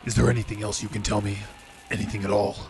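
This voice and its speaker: A man's voice: fairly low pitch, flat delivery, quick pace. A man playing a weary, dutiful police detective in an old-time radio drama. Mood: sympathetic. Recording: clearly noisy, hissy background.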